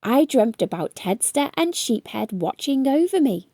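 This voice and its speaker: A woman's voice: fairly high pitch, very animated, unhurried, warm tone, no room sound, exaggerated voice. A woman voicing an earnest young boy in a storybook, easily awed and full of eager questions.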